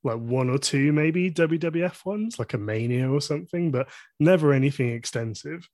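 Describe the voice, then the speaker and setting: Enthusiastic podcast host. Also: male voice, on the high side, lively intonation, normal speed, no room sound, clean studio sound. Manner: warm, enthusiastic interjections. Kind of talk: casual interview.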